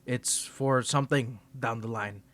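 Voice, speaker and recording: Man playing a young male tsundere rival, teasing, defensive, stammering, mid-pitched voice, dry recording. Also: fairly even intonation, measured pace, a bit bright, no room sound, close to an everyday voice. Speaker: ASMR creator. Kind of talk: ASMR roleplay.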